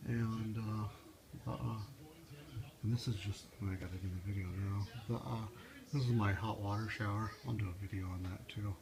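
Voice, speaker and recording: A man talking through a casual, unscripted DIY car how-to as a vlogger, halting and rambling as he thinks aloud. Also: lowish voice, fairly even intonation, vocal fry, a crowd in the background.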